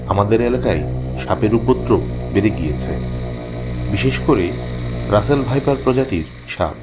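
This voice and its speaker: Male horror-cartoon narrator: lowish pitch, dramatic scripted read, clear music bed. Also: a little mellow. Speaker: narrator of a horror story, in first person.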